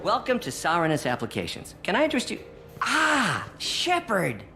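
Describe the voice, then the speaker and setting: A man playing an eager, chatty, deferential software shopkeeper in a video game, polite and enthusiastic. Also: on the high side, huge pitch swings, fast talker, faint music behind. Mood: eager, impressed.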